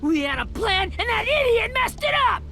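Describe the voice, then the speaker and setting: A woman playing an angry, grieving, hot-headed young boy in a video game, shouting accusations. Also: very high voice, big pitch swings, moderate pace, a little hiss or hum, full character voice. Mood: furious, grieving.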